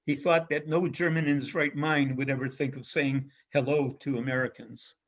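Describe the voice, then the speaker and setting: A man giving an illustrated history lecture as a guest speaker, speaking in a measured way with frequent pauses and fillers. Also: medium pitch, steady tone. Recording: dull lo-fi recording.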